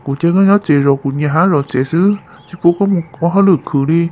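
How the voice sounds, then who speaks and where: A man voicing a male character in an animated family drama, in a dull lo-fi recording. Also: a little high, fast, close to an everyday voice. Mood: conversational. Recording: dull lo-fi sound. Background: music.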